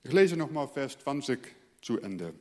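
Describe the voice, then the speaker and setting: A male pastor from the Netherlands, a native Dutch speaker, preaching earnestly and letting his phrase endings fall quiet. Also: medium pitch, sing-song, a little slow, big-room sound. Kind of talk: sermon.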